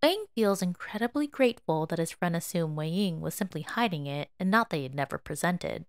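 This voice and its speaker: A woman's voice: fairly low pitch, lively intonation, brisk, dry recording, clean studio sound. A woman narrating a story in a dramatic audio drama style.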